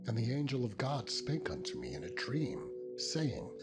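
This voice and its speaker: A man's voice: medium pitch, sing-song, normal speed, pitched above the performer's own voice. A man voicing an earnest, aggrieved herdsman patriarch in a dramatized scripture reading, declamatory and emphatic. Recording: loud music under the voice.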